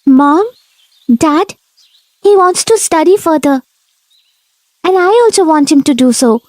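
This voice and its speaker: Woman playing a high-voiced, supportive young wife. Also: lively intonation, unhurried, a little mellow, no room sound. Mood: encouraging. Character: young devoted wife, gentle, eager, supportive. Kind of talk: animated moral story dialogue.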